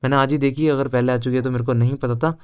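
A man's voice: mid-range voice, steady tone, quick pace, darkish tone, smooth. A young man with an Indian accent giving casual, chatty game walkthrough commentary as a video host. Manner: informal, chatty, mixes Hindi with English words.

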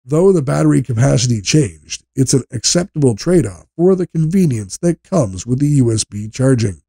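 A man's voice: medium pitch, lively intonation, moderate pace, gravelly, dark tone, no room sound. Dry-sounding man narrating a scripted review.

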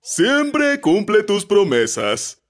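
A man voicing an old king and fond father, firm, kindly and measured, in a children's storybook cartoon. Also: high-pitched, huge pitch swings, full character voice.

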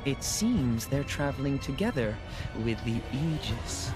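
An actor playing a composed, bookish villain in a video game, speaking in a measured, formal, smug way. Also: male voice, mid-range voice, lively intonation, natural voice. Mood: calm scheming. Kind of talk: dramatic video game acting. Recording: loud music behind dialogue.

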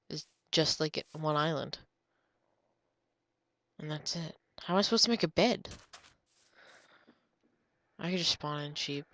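A woman's voice: low voice, creaky, a bit bright. A young woman with a stuffy, nasal voice from a cold, chatting casually while she plays a computer game. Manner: chatty, rambling, drifts into murmurs. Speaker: gamer. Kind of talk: casual gameplay commentary.

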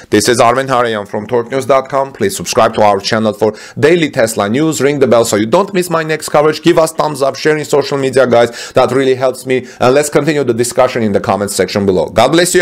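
A man with a mid-pitched voice presents automotive news as the host of a channel. He narrates from loose notes in an earnest manner, repeating phrases for emphasis and speaking straight to his viewers. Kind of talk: semi-scripted news narration addressed to viewers.

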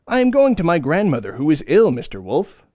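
A man's voice: a little high, huge pitch swings, brisk, a little mellow, no room sound. A man voicing a sweet, trusting little girl in a storybook reading, in a raised sing-song tone. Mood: innocent.

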